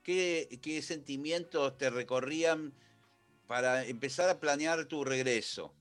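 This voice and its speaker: A man's voice: a little high, measured pace. Man, radio host, casual, colloquial, faint music behind. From Argentina. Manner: relaxed, colloquial, affirming. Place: radio studio.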